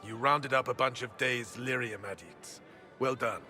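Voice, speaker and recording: An actor playing an arrogant, contemptuous marquis with a sneering, sarcastic manner in a fantasy video game. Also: male voice, mid-range voice, unhurried, bright, forceful tone, faint music behind. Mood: sneering contempt. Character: arrogant, contemptuous nobleman marquis picking a public quarrel. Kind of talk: video game dialogue acting.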